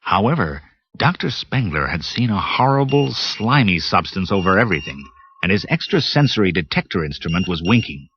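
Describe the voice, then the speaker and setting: A man narrating a read-along storybook in a lively, expressive way. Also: deep voice, moderate pace, breathy edge. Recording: muffled cassette with music bed.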